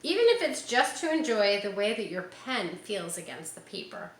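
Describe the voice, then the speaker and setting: A woman's voice: mid-range voice, big pitch swings, moderate pace. A woman reading a picture book aloud to children, speaking to them directly and encouragingly with casual asides. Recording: roomy echo, faint background noise.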